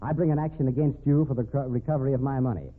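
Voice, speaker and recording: Man playing a husky hard-boiled radio tough guy. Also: medium pitch, racing, lightly acted. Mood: taunting. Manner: taunting, wry, tough. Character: shrewd, hard-boiled man turning tables on crook. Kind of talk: old-time radio crime drama acting. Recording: tinny band-limited old radio recording.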